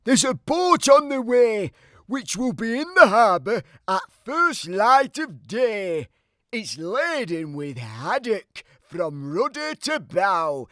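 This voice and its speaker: A man voicing an excitable, blustering old fisherman in a children's storybook. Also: sits high, slow, dry recording, clean studio sound, voice pushed up, heavily acted. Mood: excited, gossipy. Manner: exclamatory, blustering.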